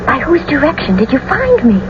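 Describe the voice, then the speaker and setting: A woman's voice: high voice, wildly swooping pitch, fairly quick. An actress playing a tender, anxious, lovestruck young noblewoman in a classical radio drama, theatrical and earnest. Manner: theatrical, earnest, romantic delivery. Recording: muffled, noisy old radio broadcast recording.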